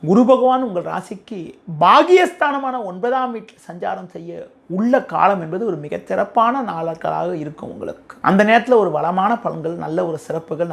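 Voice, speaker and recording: Tamil nadu guy doing astrology forecast, creaky voice, formal and measured, explains stuff. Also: high voice. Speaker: astrologer, presenter. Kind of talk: astrology forecast addressed to viewers.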